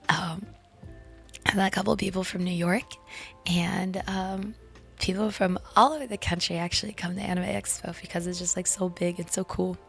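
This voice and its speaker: Young woman, hoarse, gravelly, breathy, giggly casual chat, faint background music. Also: mid-range voice, fairly bright tone. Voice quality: raspy, breathy. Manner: giggly, drops into whispers. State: hoarse, lost voice. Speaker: streamer.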